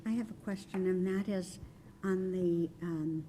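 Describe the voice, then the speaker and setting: A woman's voice: fairly low pitch, measured pace, noisy background. A woman asking an informal question at a meeting.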